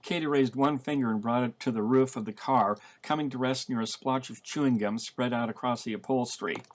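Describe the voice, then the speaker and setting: A man's voice: medium pitch, a little fast. A man, an author, reading his novel aloud in a storytelling style with narration between lines of dialogue. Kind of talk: dramatic audiobook-style reading.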